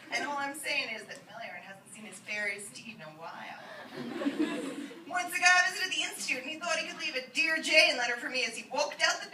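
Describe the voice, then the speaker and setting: A woman playing an angry young woman, distant and noisy. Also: sits high. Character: angry, threatening young woman leaving a voicemail. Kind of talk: comic dramatic reading. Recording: roomy, noisy, distant old iPod recording.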